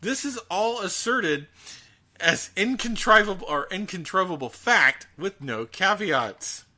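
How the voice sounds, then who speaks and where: A man hosting a podcast, chatting casually and comically, incredulous and often restarting his sentences. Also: sits high. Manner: animated, incredulous, halting restarts. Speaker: podcast host. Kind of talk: casual comedic chat about a bizarre news story.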